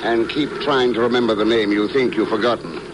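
A man's voice: fairly low pitch, fairly even intonation, a little fast, over loud music, close to an everyday voice. A man playing a cool, dry-witted secret agent. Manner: dry, unruffled, wry. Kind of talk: 1950s radio acting. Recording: noisy old 1950s radio recording.

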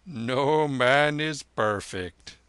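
A man voicing an elderly, gentle lama who speaks in slow, solemn, archaic phrases in a storybook reading. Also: on the high side, sing-song, no room sound, voice pushed up, exaggerated voice. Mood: grave.

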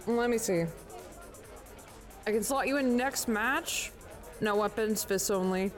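A woman's voice: medium pitch, slow, soft background music, a faint crowd, lightly acted. An actress playing a chummy female fight club bookie in an audio drama, casual, slangy and familiar. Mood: breezy.

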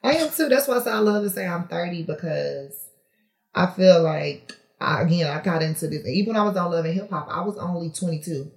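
A woman's voice: on the low side, animated, brisk, vocal fry. Chatty woman in her thirties, echoey room. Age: 30s. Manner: candid and chatty, laughs often, lots of fillers. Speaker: podcast guest, reality TV personality. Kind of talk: casual interview chat.

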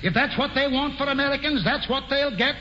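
A man's voice: very high-pitched, brisk, in a large hall. An actor playing a blustering old male colonial governor who barks commands, in 1940s radio acting style. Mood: grandly magnanimous. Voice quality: gruff and commanding, shouts orders. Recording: dull lo-fi 1940s radio broadcast with orchestra.

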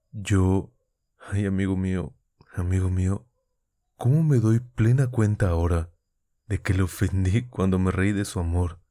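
A man's voice: low-pitched, dark tone, no echo, studio quality. A man voicing an impassioned, tearful young woman in love, speaking urgently and pleadingly. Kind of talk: audiobook character voice.

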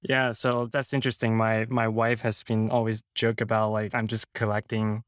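A man with a Hong Kong accent speaks in a fairly low voice, recounting his own experiences in a casual interview style. The sound is dry and close, with little room to it. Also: completely flat delivery, moderate pace, clean tone, dry recording. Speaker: podcast guest. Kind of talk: casual interview, personal storytelling.

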